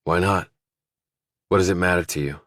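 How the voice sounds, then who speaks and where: Male narrator as guarded ex-soldier, very low voice, terse, blunt, thriller audiobook, dry sound. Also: steady tone, normal speed, a bit bright, no room sound. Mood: probing, blunt. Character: tough, guarded young male ex-army fighter.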